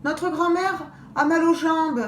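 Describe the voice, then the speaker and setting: A woman's voice: extremely high pitch, fairly even intonation, slow pace, a little hiss or hum, voice pushed up. A woman voicing a careful little girl in a storybook, firm and matter-of-fact. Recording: roomy echo.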